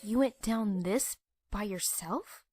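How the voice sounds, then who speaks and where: A woman's voice: medium pitch, slow pace, a little mellow, husky. A woman voicing an earnest, bookish young pony princess in a cartoon-style comic dub. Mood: earnest, concerned.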